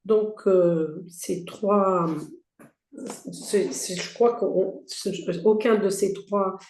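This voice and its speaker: A woman with a hoarse, strained voice walks an audience through a slide presentation, listing points and stumbling into restarts. Her tone is dark and mellow, and the sound bounces around a roomy space. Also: mid-range voice, steady tone, extremely slow. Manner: reads out slide points with frequent fillers and restarts. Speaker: presenter for a heritage federation. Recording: roomy echo.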